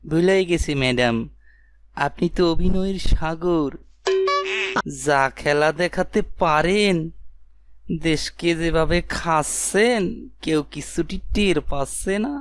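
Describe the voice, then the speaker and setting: A man's voice: a little high, slow pace, pauses often, clean tone, no room sound. A man voicing a sly, fawning assistant to a lady boss in a satirical cartoon, with an obsequious manner. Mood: flattering.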